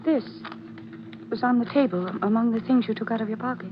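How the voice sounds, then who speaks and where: An actress playing a grave, patient but firm woman in a 1940s radio thriller, speaking deliberately. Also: a little high, natural voice. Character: grave, controlled woman handling a troubled man. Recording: noisy, muffled 1940s radio recording.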